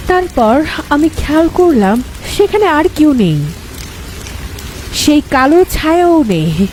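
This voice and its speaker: High-pitched, slow-paced woman telling horror stories. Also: darkish tone, a little hiss or hum, rough recording. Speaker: storyteller. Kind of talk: dramatic first-person horror story narration.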